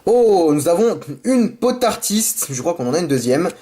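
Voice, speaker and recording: A man's voice: high voice, normal speed. Chatty man opening card packs, a bit sick. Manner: chatty, apologetic, excited over cards. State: sick with a sore throat. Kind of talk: casual unboxing commentary. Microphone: camera mic.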